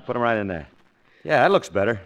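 An actor playing a good-natured husband tending a hurt pal, talking in a folksy, slangy way in 1940s radio comedy style. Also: male voice, mid-range voice, big pitch swings, quick pace, fairly bright tone, low-quality recording.

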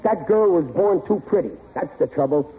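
A man's voice: fairly high pitch, lively intonation, moderate pace, close to an everyday voice. An actor playing a suspicious, blustering middle-aged American working-class father in a 1940s radio sitcom, grumbling in ungrammatical speech. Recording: tinny old radio broadcast recording.

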